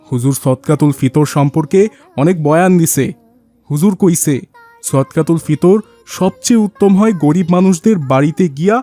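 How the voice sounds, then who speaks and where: A man plays a poor, humble rickshaw puller for a cartoon. His voice is high for a man, and he speaks deferentially and plainly in a rural Bangladeshi dialect. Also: mellow, lightly acted. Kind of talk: cartoon dialogue acting.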